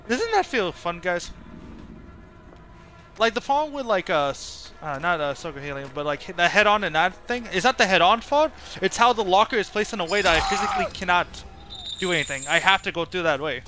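A man's voice: high-pitched, animated, brisk, pushed and bright. A man giving casual live gameplay commentary as a streamer, rambling and thinking aloud in a self-critical way. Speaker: game streamer.

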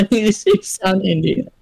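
A man's voice: high-pitched, creaky, darkish tone, husky, rough recording. A man teasing his friends over voice chat during an online game, laughing often. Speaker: gamer in voice chat.

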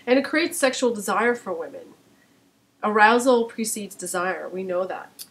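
A young woman hosting a casual chat, hoarse from a cold and quick to laugh. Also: medium pitch, lively intonation, fairly bright tone, rough recording. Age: young adult. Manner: laughing, upbeat interjections. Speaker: host. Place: indoors before a paper screen.

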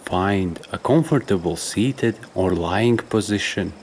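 A man with a deep voice guides a meditation from a script. He speaks very slowly and softly, in measured instructions with room between phrases. A faint trickle of stream water runs behind him. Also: a little hiss or hum. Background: trickling stream and nature ambience.

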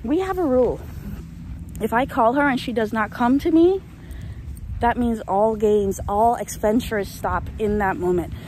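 A woman's voice: on the high side, measured pace, a little mellow, a little hiss or hum, poor audio. A young woman vlogging on a phone at arm's length while walking her dog through a rainy field, chatting and ranting about dog training and laughing often. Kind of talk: walk-and-talk vlog. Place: open grassy field outdoors in rain. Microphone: phone held at arm's length. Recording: faint outdoor rain ambience.

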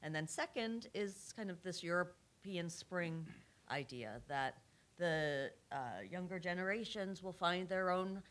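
A woman speaking as a panelist at a policy discussion in a conference room, measured and reflective, with frequent fillers. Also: on the low side, expressive, fairly bright tone. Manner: measured, many fillers and hedges. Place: large conference room with audience.

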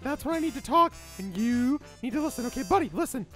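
A man's voice: extremely high pitch, animated, quick pace, fairly bright tone, faint music behind. A man playing a flustered, exasperated teacher who stammers and interrupts himself, in an improvised comic cartoon style. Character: flustered, exasperated male teacher hunting for his costume. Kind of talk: improvised comic character acting.

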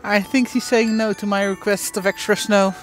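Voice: medium pitch, level tone, moderate pace, soft background music. A vlogger chatting casually while walking outdoors. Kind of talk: casual walking vlog chat. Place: outdoors walking in a snowy theme park. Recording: outdoor park noise.

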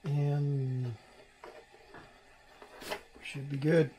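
A middle-aged man gives a casual hands-on tutorial as he works, thinking aloud in an unhurried way. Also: on the high side, flat delivery, background noise. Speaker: electronics repair hobbyist and host. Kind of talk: casual hands-on tutorial narration while working. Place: small indoor workbench.

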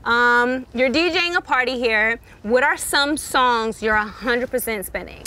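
Playful woman host w handheld mic outdoors, laughy, bright tone. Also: on the high side, a little slow. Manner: bubbly, playful, laughs often. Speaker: talk show host. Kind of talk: upbeat hosted chat. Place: rooftop terrace outdoors.

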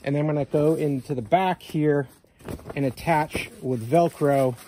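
A man hosting a casual how-to video, explaining step by step in a plain, relaxed way while working outdoors beside a vehicle, filmed on a handheld phone. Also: a little high, measured pace, slight background noise. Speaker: channel host.

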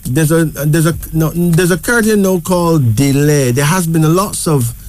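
Barbadian man, card reader, mellow and relaxed tone, chatty, reassuring. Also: a little high, measured pace. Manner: chatty, reassuring, tag questions. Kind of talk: casual card reading chat.

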